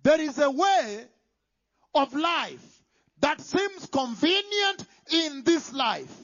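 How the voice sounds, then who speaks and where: A man preaching a sermon, emphatic, moving between loud declarations and hushed whispers. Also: extremely high pitch, big pitch swings, slow. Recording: dull lo-fi sound.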